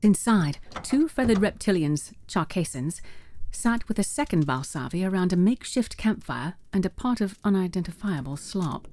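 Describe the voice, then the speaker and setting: A woman's voice: lowish voice, lively intonation, moderate pace, mellow, a little hiss or hum, natural voice. A woman narrating a scripted fantasy adventure as a storyteller.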